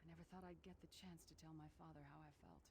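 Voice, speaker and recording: A woman's voice: deep voice, fairly even intonation, quick pace. Grieving warrior woman, noisy recording. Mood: relieved, resolute. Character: grieving Nord warrior woman, blunt, haunted, big-hearted. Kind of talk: dramatic video game voice acting. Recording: very noisy game capture with ambience.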